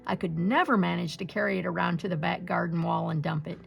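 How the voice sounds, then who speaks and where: A woman's voice: fairly low pitch, fairly even intonation, fast talker, creaky, muffled recording, faint music behind. A woman voicing a simple, puzzled old husband in a storybook folktale, hesitant and worried in manner.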